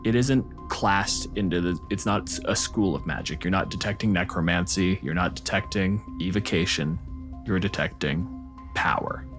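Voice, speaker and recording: A man's voice: sits low, normal speed, over music. A man improvising narration as the game master of a tabletop fantasy game.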